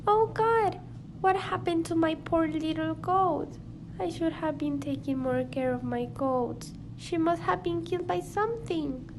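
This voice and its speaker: Woman acting a very high-pitched, worried farmer lady. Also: measured pace. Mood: distressed. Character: worried farmer lady frightened for her killed goats. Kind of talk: amateur radio drama acting. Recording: noisy amateur home recording.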